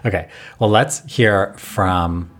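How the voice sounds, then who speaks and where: A man's voice: sits low, slow pace, fairly bright tone. A man co-hosting a podcast, casual and laid-back, with playful jokes. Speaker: podcast co-host. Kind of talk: casual chat.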